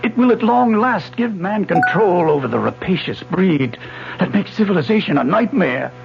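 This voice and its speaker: A man's voice: high voice, lively intonation, normal speed, vocal fry, background noise. An actor playing a retired older male physics professor, obsessive and insistent, in a radio drama lecturing style. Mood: urgent. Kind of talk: 1970s radio drama acting. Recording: muffled old radio broadcast with music.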